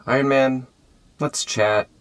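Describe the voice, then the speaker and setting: A man's voice: medium pitch, steady tone, slow pace, lightly acted. An actor playing a wisecracking male armored hero who makes dry jokes. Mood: wry.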